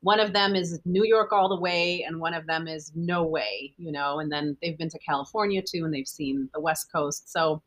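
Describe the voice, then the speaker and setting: A woman's voice: deep voice, fairly even intonation, moderate pace, few pauses, low-quality recording. A woman from Guyana, a physician guest, telling chatty personal stories with fillers. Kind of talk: casual interview chat.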